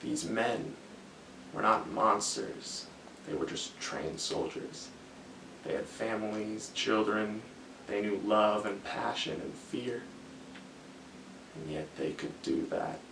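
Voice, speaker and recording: A man acting out a haunted army officer in a film monologue, brooding and philosophical. He speaks very slowly and haltingly, with a gravelly creak. The sound is echoey, as if caught across a room. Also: on the low side. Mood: grave, intense. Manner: halting, deliberate, with long pauses and repeated phrases. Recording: echoey room, distant mic, faint noise.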